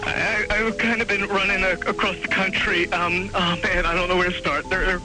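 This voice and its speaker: A man calling in to a late-night radio show stammers and hurries over a phone line, his voice pitched high and tight with fear. Music plays under the clip. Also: little pitch movement, normal speed. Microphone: telephone line.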